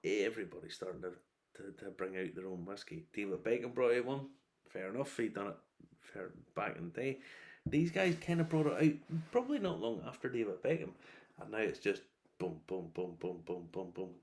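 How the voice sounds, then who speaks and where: Middle aged guy w a lowish voice rambling casually like a reviewer, opinionated and kinda wry. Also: normal speed. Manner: opinionated, wry, animated asides with mock quotes. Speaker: whiskey reviewer.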